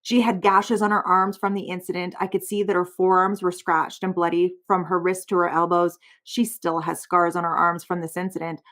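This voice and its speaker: A woman reads a formal written statement aloud as a voiceover. Her voice sits a little lower than most women's. The delivery is that of someone reading a legal document word for word. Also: flat delivery, normal speed, hardly any pauses, a bit bright. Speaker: voiceover reader.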